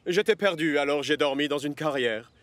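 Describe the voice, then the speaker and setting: Slightly high male voice, actor as hesitant cartoon delivery newcomer, apologetic. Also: moderate pace, a bit bright, lightly acted. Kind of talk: children's cartoon dub.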